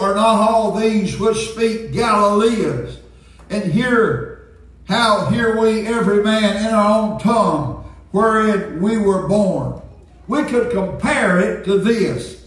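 An elderly male pastor preaches earnestly at an unhurried pace. His voice is high for a man. It rings with heavy echo in a church sanctuary. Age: 70s. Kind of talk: Pentecostal sermon, preaching and reading aloud. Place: church sanctuary, indoors at a pulpit. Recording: very echoey church sanctuary, distant sound.

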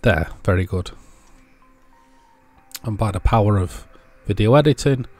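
Guy with a lowish voice doing a casual how-to, understated, talks thru it step by step. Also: a little slow, darkish tone, faint music behind. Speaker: presenter of an electronics repair channel.

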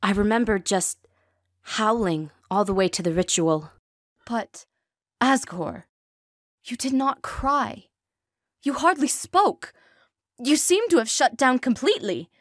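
A woman playing a weary, stern, wounded goat-monster queen with formal, measured diction, in a dramatic comic dub. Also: a little high, lively intonation, no room sound, clean studio sound. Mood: sorrowful.

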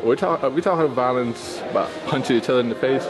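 Blunt Iraqi-born fighter, loud music behind. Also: male voice, mid-range voice, quick pace. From Iraq. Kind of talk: documentary interview. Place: indoor boxing gym.

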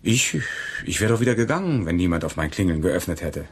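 An actor playing a loyal, reassuring family friend in a German radio crime drama. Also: male voice, low voice, sing-song, fairly quick, slightly rough, heavily acted. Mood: chatty, reassuring.

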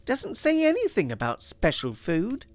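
A man's voice: high voice, huge pitch swings, a little slow, dry recording, voice pushed up, full character voice. A man reading aloud and voicing an anxious, indignant housewife who protests at unwelcome guests. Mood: dismayed. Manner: exasperated, protesting.

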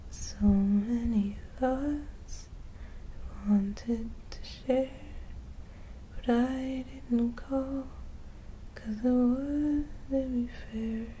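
Very soft, high-voiced woman singing sleepily. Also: a little hiss or hum. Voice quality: soft, breathy. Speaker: ASMR performer.

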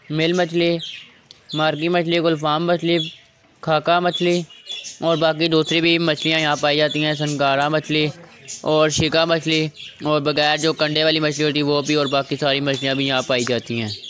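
A young man from Pakistan hosting a vlog, narrating facts about a fish market in a steady, formal way. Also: fairly high pitch, normal speed, slight background noise. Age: young adult. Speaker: vlog host.

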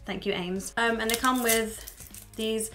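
A woman's voice: a little high, steady tone, takes it slowly, fairly bright tone, soft background music. A woman in her twenties chatting to camera, candid and swearing freely, a little stuffy from a cold, in a small room at home. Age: 20s. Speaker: YouTuber. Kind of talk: casual chat to camera.

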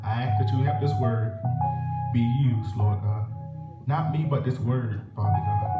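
A man's voice: mid-range voice, dull audio. A young man with a Southern US accent, preaching a sermon and leading prayer in a church sanctuary with rhythmic call-and-response delivery. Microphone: stand mic at pulpit, filmed by far camera. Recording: echoey church from far off. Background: organ music.

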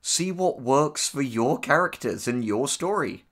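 A man co-hosting a casual commentary discussion, speaking in a measured, articulate and opinionated way. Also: mid-range voice, expressive, fairly bright tone. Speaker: co-host, audio drama writer.

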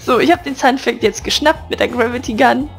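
A woman's voice: fairly high pitch, a little fast, gravelly, faint music behind. A woman hosting a casual let's play, chatting playfully and giggling with her co-player. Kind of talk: casual gaming commentary.

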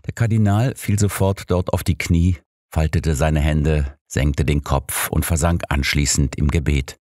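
Man, very low bass voice, scripted story narration, dry studio sound. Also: measured pace, a little mellow, no room sound. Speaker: audiobook narrator.

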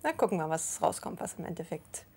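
A woman's voice: low-pitched, big pitch swings, fairly quick, slightly rough. A woman in her forties in casual conversation as a private seller, giving short, understated replies. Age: 40s. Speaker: seller of her household items. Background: loud background music.

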